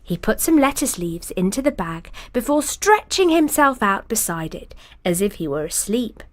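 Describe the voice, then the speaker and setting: Woman reading a fairy tale with lively lilt. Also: mid-range voice, normal speed, slight background noise. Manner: expressive, storybook cadence. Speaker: storyteller. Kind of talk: fairy tale read aloud.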